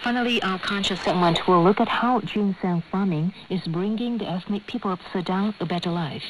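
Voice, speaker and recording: A woman's voice: mid-range voice, brisk. Noisy shortwave female newsreader. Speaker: radio news presenter. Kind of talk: scripted news reading. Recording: noisy shortwave radio broadcast.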